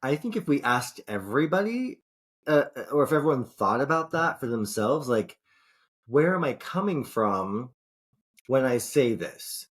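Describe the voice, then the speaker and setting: A man's voice: mid-range voice, sing-song, unhurried. A male podcast co-host with a mid-range voice, talking in a loose, rambling way. He swears freely and keeps restarting his thoughts. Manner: rambling, profane, frequent restarts. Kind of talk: casual podcast chat.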